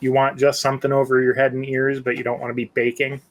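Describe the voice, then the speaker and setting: Easygoing male co-host, early 30s, chatty and relaxed. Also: medium pitch, quick pace. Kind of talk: casual chat.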